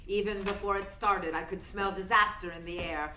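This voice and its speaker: Woman playing a bright-voiced, sardonic stage diva. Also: medium pitch, steady tone, moderate pace, lightly acted. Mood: wry narration and sarcasm. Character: proud, jealous, sardonic middle-aged stage actress. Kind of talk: radio play acting. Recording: echoey room with faint noise.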